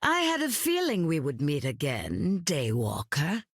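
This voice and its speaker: An actress playing an imperious goddess queen of the dead in a video game, measured and regal with a husky menace. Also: low voice, wildly swooping pitch, slight background noise, heavily acted. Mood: smooth menace.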